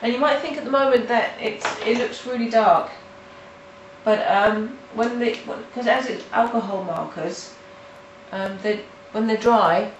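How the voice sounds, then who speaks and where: A woman with a nasal voice from hay fever, talking halting and casual as she walks through a coloring tutorial at home. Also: a little high. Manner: halting, with restarts and fillers. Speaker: art tutorial host. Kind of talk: casual step-by-step tutorial narration. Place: home in the countryside. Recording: roomy, mic at a distance, faint noise.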